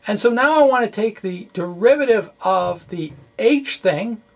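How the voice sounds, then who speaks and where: Man explaining math informally, halting delivery. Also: sits high, animated. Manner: conversational, chatty asides. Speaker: physics explainer. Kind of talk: informal science lecture.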